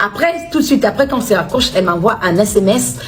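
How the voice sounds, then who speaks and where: A woman from Kinshasa making an anxious appeal as a mother, halting and full of restarts. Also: medium pitch, lively intonation. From Congo (Kinshasa). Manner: halting, distressed, many restarts. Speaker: mother of a missing student. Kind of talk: personal appeal video.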